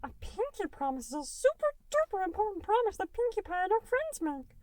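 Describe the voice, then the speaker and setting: A woman voicing a childlike male cartoon goo creature, high-pitched and rambling with eager enthusiasm. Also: big pitch swings, brisk, a little mellow, no room sound. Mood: eager, excited. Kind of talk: breathless rambling cartoon retelling.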